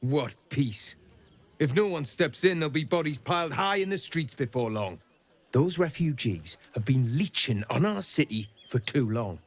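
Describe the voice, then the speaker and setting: A man's voice: mid-range voice, animated, moderate pace, close to an everyday voice. An actor playing a folksy city guardsman with simmering resentment in a fantasy video game. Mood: menacing, bloodthirsty. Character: city guardsman, hearty surface, seething bloodthirsty resentment. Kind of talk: video game character acting. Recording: music behind the voice.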